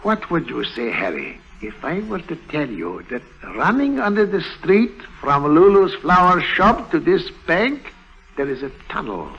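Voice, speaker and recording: A man's voice: a little high, big pitch swings, measured pace, vocal fry, a bit bright, breathy edge, full character voice, poor audio. An actor playing a pompous, jealous, florid banker with schemes of his own in an old radio comedy drama. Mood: conspiratorial. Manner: pompous, florid, self-satisfied.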